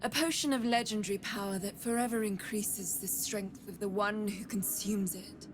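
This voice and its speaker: A woman playing an eerie, imperious blood trader with morbid curiosity in a video game, speaking in a measured, silky, haughty way. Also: medium pitch, fairly even intonation, a little hiss or hum, close to an everyday voice. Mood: eager, yearning. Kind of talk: dramatic video game acting.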